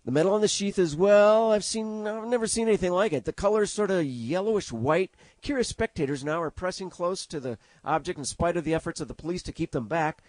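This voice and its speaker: A man playing an excitable, courteous 1930s radio news reporter with formal announcer diction that gets flustered. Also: high-pitched, brisk, few pauses, no echo, lightly acted. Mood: excited, flustered. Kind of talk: 1930s radio drama acting.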